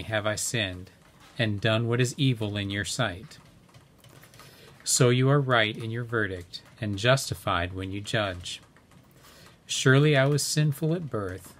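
Middle-aged man reading scripture very slowly. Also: medium pitch, slight background noise. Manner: measured, pausing between short phrases. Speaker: scripture reader at a worship gathering. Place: dim living room by a window.

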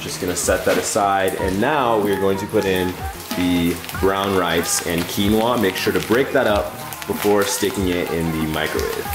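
A young man hosting a casual cooking vlog in his home kitchen, chatting upbeat and directly to viewers. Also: low-pitched. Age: young adult. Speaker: fitness vlogger, host. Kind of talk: casual cooking demo to camera. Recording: roomy kitchen echo with background music.

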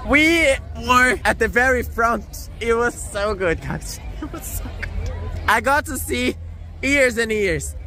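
A hoarse young man gushing to his phone camera while walking city streets at night after a concert. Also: very high voice, slow pace, faint music behind, some chatter behind. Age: young adult. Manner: gushing, excitable. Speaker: vlogger. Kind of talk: casual vlog talk to camera. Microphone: phone held at arm's length.

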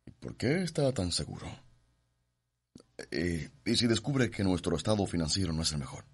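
A proud young Cuban gentleman in a radio drama. His voice is low and husky, arrogant yet full of doubt. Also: big pitch swings, normal speed, full character voice. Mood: worried, doubting. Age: young adult. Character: arrogant, uneasy young suitor, son of schemer.

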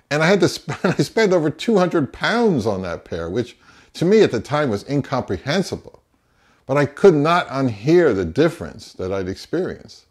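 A man in his fifties hosts an audio review vlog with a mid-pitched voice and very animated intonation. He talks straight to camera in an opinionated, emphatic manner, with a wry edge. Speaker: audio review channel host. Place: small home office, indoors.

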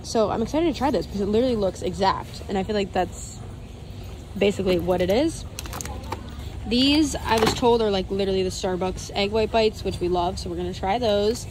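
A woman's voice: mid-range voice, a little fast, background noise. Chatty young woman vlogging on a phone. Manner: chatty, upbeat, lots of "like" and "literally". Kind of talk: casual vlog chat. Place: large warehouse store, indoors. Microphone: phone camera held at arm's length.

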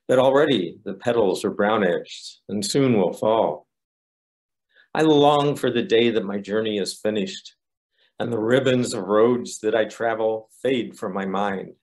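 A man's voice: fairly low pitch, unhurried, a little mellow. An older man reciting a poem from a small room on a video call. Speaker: poet reading own poem. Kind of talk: poetry recitation.

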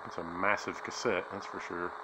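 A man with a fairly low voice talks through a video game in a casual, offhand way, thinking aloud as he plays. Loud noise and clicks run behind him. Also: level tone, moderate pace. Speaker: gamer, channel host. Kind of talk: casual gameplay commentary. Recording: clearly noisy game audio, clicks.

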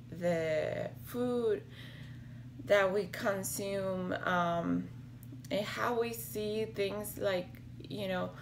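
A woman in her thirties speaks slowly and hesitantly into a laptop camera in a small home office, her voice raspy and gravelly with fry. Her pitch sits low and flat, and a faint hiss of room noise runs underneath. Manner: hesitant, many fillers and restarts. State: slightly hoarse from allergies. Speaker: health vlogger. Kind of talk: casual talking-to-camera vlog. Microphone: webcam or laptop camera at desk.

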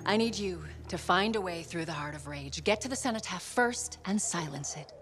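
Low-voiced, authoritative spymaster. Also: female voice, lively intonation, fairly bright tone, soft background music. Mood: urgent, commanding. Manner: measured, businesslike. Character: cool, authoritative female intelligence handler.

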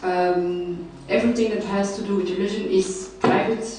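A woman's voice: medium pitch, fairly even intonation, normal speed, dark tone, a little hiss or hum. Young woman, hesitant academic presenter, recorded far off in a very echoey hall. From Belgium. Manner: hesitant, many fillers and restarts. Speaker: researcher presenting at a summer school. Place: large lecture hall, seated at a panel table. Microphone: table mic, recorded by a far camera.

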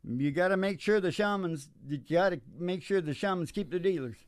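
A man's voice: sits high, a little fast, clear, resonant tone, no room sound. A man in his sixties hosting a show in a folksy, rambling, chuckling way, talking casually into a close microphone. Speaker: host, country singer. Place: small curtained studio. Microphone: stand microphone up close. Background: acoustic guitar.

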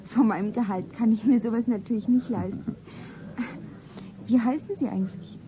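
A woman's voice: on the high side, normal speed, mellow, close to an everyday voice. An actress playing a naive, cheeky young shop girl in a girlish, artless manner in a radio play. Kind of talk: 1970s German radio play acting. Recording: noisy 1970s radio play recording.

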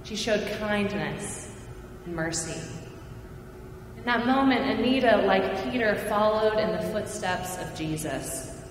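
A woman's voice: medium pitch, recorded from a distance, slight background noise. Earnest woman preaching in echoey church. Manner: measured, earnest preaching with falling phrase ends. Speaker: pastor. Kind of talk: sermon. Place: large church sanctuary. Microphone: pulpit mic, far camera.

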